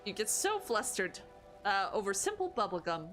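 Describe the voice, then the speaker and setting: A woman's voice: medium pitch, very animated, moderate pace, fairly bright tone, faint music behind. A woman voicing a sly, masked young fox girl who teases in a mocking, singsong way. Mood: teasing.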